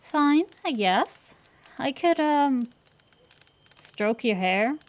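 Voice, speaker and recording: A woman plays a tsundere dragon girl sorceress in an intimate fantasy audio roleplay. Her voice sits a little high and she takes her time over her words. She blusters and teases like a tomboy gambler, easily flustered, with fondness underneath. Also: expressive, extremely slow, exaggerated voice. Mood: softening, awkward.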